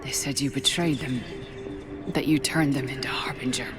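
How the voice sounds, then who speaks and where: A woman's voice: extremely low pitch, steady tone, moderate pace, over loud music. A woman playing a battle-worn, wary soldier hero in a video game, with terse, weary, questioning delivery. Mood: probing, skeptical. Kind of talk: video game cutscene acting. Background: game score.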